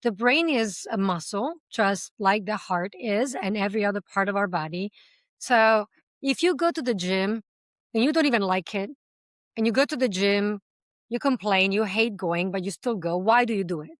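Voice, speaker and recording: A woman's voice: medium pitch, expressive, normal speed, dry recording. A woman who founded a language school, answering interview questions in a passionate, talkative way and drawing on everyday examples. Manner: speaks several languages.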